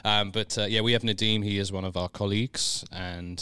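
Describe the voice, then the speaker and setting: A man's voice: lowish voice, steady tone, brisk, pushed and bright, smooth, dry recording, clean studio sound. A man co-hosting a casual podcast, talking in a laid-back, rambling way with lots of fillers. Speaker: podcast co-host.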